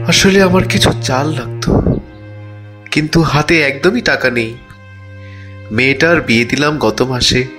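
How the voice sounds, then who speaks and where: A man's voice: on the high side, close to an everyday voice. A man playing an embarrassed, cash-strapped father in a cartoon, politely asking for credit. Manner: apologetic, polite. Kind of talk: cartoon story character dialogue.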